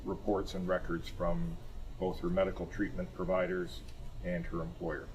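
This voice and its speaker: A man playing a claimant's lawyer, speaking formally and carefully, a little haltingly. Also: low-pitched, level tone, slight background noise, lightly acted, poor audio. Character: measured male lawyer representing the injured claimant. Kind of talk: staged legal discovery.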